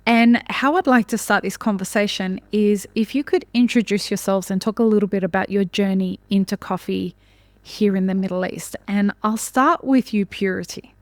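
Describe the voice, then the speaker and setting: A woman's voice: mid-range voice, moderate pace, no room sound. A woman hosting a podcast interview who welcomes her guests and asks encouraging questions. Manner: warm encouraging, short backchannels. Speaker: podcast host.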